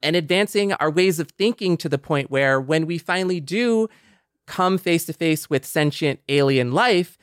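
A man's voice: a little high, animated, moderate pace, clear, resonant tone, no room sound, studio quality. A man in a casual panel discussion, earnest and feeling his way through his thoughts with repeated words. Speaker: podcast panelist.

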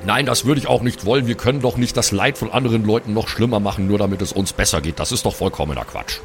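A low-pitched, pompous scholar of a dwarf, played by a man, in an improvised fantasy audio play. He lectures in long, wordy sentences like a hungry know-it-all brother, with music behind him. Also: fast, gravelly. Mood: disapproving.

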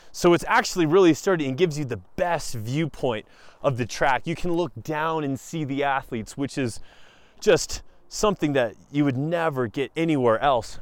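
A man's voice: a little high, clean tone, no echo. A man talking casually and enthusiastically while walking around a bobsleigh track outdoors. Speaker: athlete reporter. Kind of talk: casual on-site walkthrough.

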